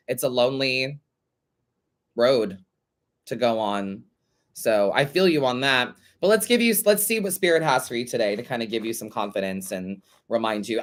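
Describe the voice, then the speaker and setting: A man with a stuffy, blocked-up nose hosts a relaxed tarot advice show. He talks to listeners in a loose, chatty way, calls them babe and honey, and lets the occasional curse word slip. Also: mid-range voice. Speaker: tarot reader and show host. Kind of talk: casual advice reading of listener questions.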